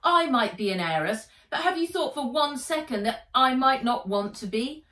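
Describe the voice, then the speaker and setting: A woman's voice: high-pitched, animated, normal speed, fairly bright tone, reverberant, pitched above the performer's own voice. Woman playing a fiery orphan schoolgirl heiress. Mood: angry, hurt. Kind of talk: children's book read-aloud.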